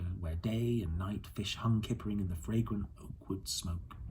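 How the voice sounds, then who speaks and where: A man's voice: lowish voice, dark tone, slight background noise, low-quality recording. A man reading a storybook aloud as an audiobook narrator. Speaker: audiobook reader.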